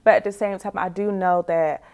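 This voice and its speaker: A woman's voice: medium pitch, fairly even intonation, fairly quick, darkish tone, lo-fi sound. A woman in her forties giving a reflective, candid personal testimony as an interview guest, in a conversational way, often saying "you know". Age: 40s.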